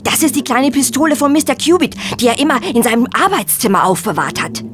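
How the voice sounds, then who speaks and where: A woman's voice: high-pitched, sing-song, fast, crowd noise. An actress playing a plain-spoken female household servant in an audio drama, with a blunt, emphatic and chatty manner. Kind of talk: German audio drama acting.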